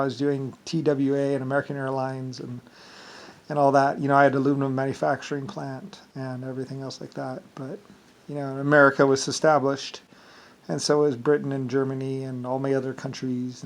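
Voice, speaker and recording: A man's voice: medium pitch, a bit bright. A man with an Irish accent delivering a rambling, digressive solo monologue with self-assured claims.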